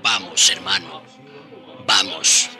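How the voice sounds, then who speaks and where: An actor playing a wise old Indian rebel in a radio drama, reflective and devout, speaking solemnly and with passion. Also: male voice, deep voice, steady tone, sharp tone, soft background music, exaggerated voice. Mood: resolute. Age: older. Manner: solemn, impassioned, slow and deliberate. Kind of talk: radio drama acting, part narration.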